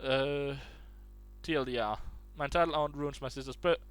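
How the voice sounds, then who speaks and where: A man's voice: medium pitch, steady tone, moderate pace, fairly bright tone, clear, resonant tone. Casual man reading online stories aloud. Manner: restarts and stumbles while reading aloud. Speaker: reader of online posts.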